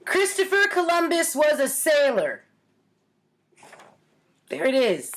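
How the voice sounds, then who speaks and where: A woman in her forties with a slightly high voice and an unhurried pace. She hosts a casual storytime from her kitchen, presenting things with playful mock earnestness and dry, wry asides. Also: huge pitch swings, a bit bright, slight background noise. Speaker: host of a storytime series. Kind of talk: casual comic storytime vlog, commenting on own childhood writings. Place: home kitchen, indoors.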